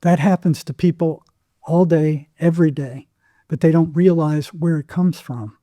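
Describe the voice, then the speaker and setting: A man's voice: fairly high pitch, moderate pace, mellow, dry recording, studio quality. A man speaking as an interview guest, telling anecdotes and explaining with emphatic, repeated phrasing. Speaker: psychotherapist, podcast guest.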